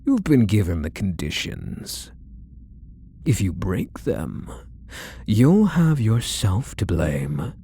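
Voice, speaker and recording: A man playing a possessive, doting kidnapper obsessively in love, speaking in soft, coaxing, condescending endearments for an intimate ASMR roleplay. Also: on the low side, a little hiss or hum, full character voice. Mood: calm menace.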